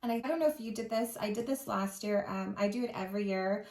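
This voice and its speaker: A woman's voice: mid-range voice, fast talker, a little mellow. A woman in her forties co-hosting a chat in an informal, casual way, often dropping "like" into her sentences. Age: 40s. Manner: informal, peppered with "like". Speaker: co-host of a witchy chat channel. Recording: echoey room.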